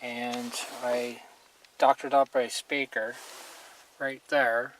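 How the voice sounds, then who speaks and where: A clearly noisy amateur recording with clicks carries a man's voice at an average pitch. He talks casually, like a hobbyist showing his gear hands-on. His manner is blunt and plain-spoken, with some mild crudeness. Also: little pitch movement, takes it slowly. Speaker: hobbyist reviewer demonstrating radio gear.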